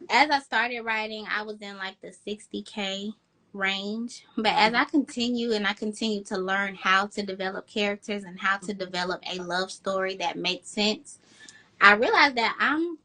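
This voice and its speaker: A woman romance author in an interview, chatty and enthusiastic, with a high-pitched voice. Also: moderate pace, pushed and bright. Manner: chatty, enthusiastic, quotes herself with "girl". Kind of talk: casual interview chat.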